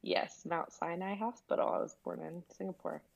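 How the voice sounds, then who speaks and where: A woman's voice: fairly low pitch, steady tone, moderate pace, gravelly, muffled recording. A young woman from Texas, a medical resident, answering interview questions in a friendly way with frequent laughs and fillers. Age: young adult. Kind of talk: casual interview.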